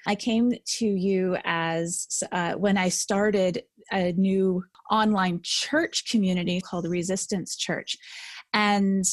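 Woman interviewer chatting w a guest online, mid pitched voice. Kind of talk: conversational interview. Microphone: internet call.

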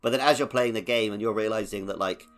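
A man's voice: fairly low pitch, fairly even intonation, quick pace, fairly bright tone, soft background music. A man co-hosting a podcast, chatting casually about playing video games. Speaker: podcast co-host.

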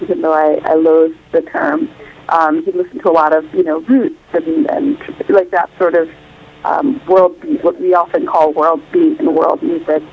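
A woman singer interviewed by phone, from Illinois, speaking thoughtfully and searching for words with frequent fillers. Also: lowish voice. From Illinois, United States. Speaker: guest singer. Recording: tinny phone line.

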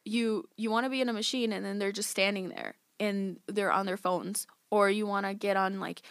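A woman's voice: medium pitch, steady tone, moderate pace, clean tone, no echo, clean studio sound. A young woman whose first language is Spanish, a podcast guest talking casually, chatty and giggly with many filler words. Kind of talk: casual conversation.

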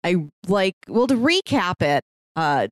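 A woman's voice: fairly low pitch, very animated, takes it slowly, no room sound. Woman podcaster with a dry, close sound. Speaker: podcast co-host. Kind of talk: casual review and plot recap.

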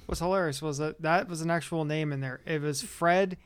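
A man on a casual podcast who chimes in with dry, short quips. His voice is smooth and resonant and sits a bit higher than most men's. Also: fairly even intonation, a little fast. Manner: dry, wisecracking. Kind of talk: casual chat.

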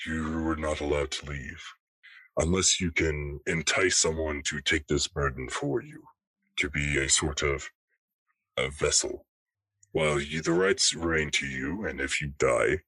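Man acting out hooded reaper referee, extremely low voice, dry close sound, calm authority, measured formal speech, tabletop roleplay. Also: vocal fry, dry recording, exaggerated voice.

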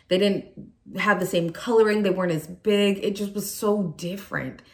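A young adult woman youth pastor from Nigeria, raised in California, giving an earnest chapel sermon with prayer and personal stories. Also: lowish voice.

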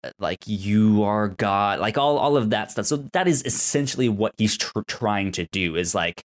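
Man, low voice, stuttering, halting explanations, casual podcast host. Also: animated. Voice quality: stutters on words, repeating syllables. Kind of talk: casual discussion of a TV drama.

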